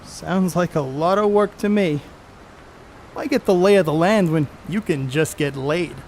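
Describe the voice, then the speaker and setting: Man acting a brash, high-pitched baseball braggart. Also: measured pace, darkish tone, slight background noise. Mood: crude teasing. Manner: cocky, slangy, coarse-mouthed. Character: brash, crude, boastful middle-aged male baseball star. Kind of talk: stage play acting, 1930s American banter.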